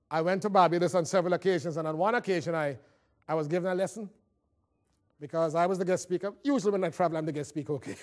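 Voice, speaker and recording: A man with a Caribbean accent giving a motivational talk, telling humorous personal stories in a theatrical, conversational way. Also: high-pitched. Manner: theatrical storytelling, acting out dialogue. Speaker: motivational speaker.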